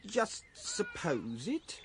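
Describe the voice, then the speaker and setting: An actor playing an awkward, evasive, wistful would-be shopkeeper in a radio drama, with an English accent and clipped, hesitant phrasing. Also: male voice, high-pitched, huge pitch swings, drawn-out words, slightly rough, heavily acted, low-quality recording. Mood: earnest, wistful.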